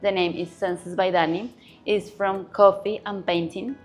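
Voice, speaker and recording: A woman's voice: medium pitch, steady tone, unhurried, soft background music. Hesitant woman. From Colombia. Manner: hesitant, fillers of "eh". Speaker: guest entrepreneur.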